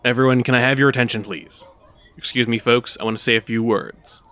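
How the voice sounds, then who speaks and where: A man's voice: medium pitch, steady tone, brisk, fairly bright tone, natural voice. A man playing a diplomatic, encouraging superhero theatre director in an audio drama. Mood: earnest, proud. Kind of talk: audio drama dialogue, speech to cast.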